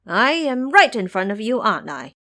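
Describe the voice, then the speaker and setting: A woman voicing a sly, confident male monkey trickster with a teasing, smooth-talking manner in an audio storybook. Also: on the high side, very animated, normal speed, sharp tone.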